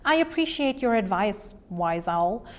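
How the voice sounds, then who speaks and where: A woman's voice: mid-range voice, sing-song, unhurried. A woman voicing a small, clever, boastful male jackal trickster in a children's storybook, with theatrical exclamations.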